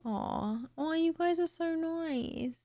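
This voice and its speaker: A young woman streaming from her room late at night, tired and a little raspy, chatting casually and thanking viewers on a close studio mic. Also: very high-pitched, animated, slow pace, dark tone. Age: young adult. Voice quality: slightly raspy today. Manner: chatty, bubbly, laughs easily. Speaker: live streamer. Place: home streaming room, indoors. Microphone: boom studio mic close to mouth.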